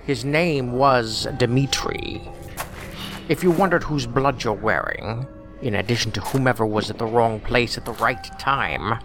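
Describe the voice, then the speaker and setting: A man playing a cold gravelly villain. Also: mid-range voice, music in the background, close to an everyday voice. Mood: menacing. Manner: cold, measured, philosophical menace. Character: calm menacing man who appears from nowhere. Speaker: voice actor. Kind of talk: audio drama acting.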